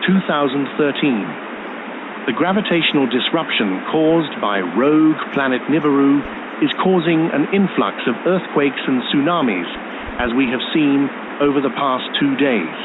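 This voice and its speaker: A man's voice: mid-range voice, animated, normal speed. Man reading formal scripted bulletin, tinny phone sound, lots of hiss and noise.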